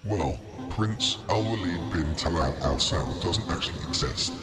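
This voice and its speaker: A man voicing a gruff, impatient male God, blunt and exasperated. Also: low-pitched, expressive, a little slow, loud music behind the voice. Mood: weary.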